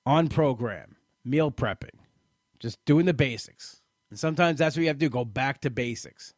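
A man in his late thirties sharing his weight-loss journey in a halting, personal way, often restarting and repeating words. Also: medium pitch, dry recording, studio quality. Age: late 30s. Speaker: weight-loss program member. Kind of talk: personal testimonial talk.

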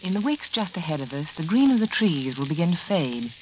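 A woman delivering a scripted 1940s radio advertisement as the show's hostess, measured, intimate and persuasive. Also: low voice, sing-song. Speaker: radio hostess and sponsor spokeswoman. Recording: muffled, noisy vintage radio recording.

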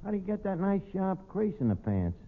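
An actor playing a brash tavern manager, slangy and stumbling into pompous malapropisms, in old radio comedy. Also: male voice, high-pitched, very animated, fairly quick. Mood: cocky. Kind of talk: 1940s radio comedy acting. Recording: noisy, phone-like old radio recording.

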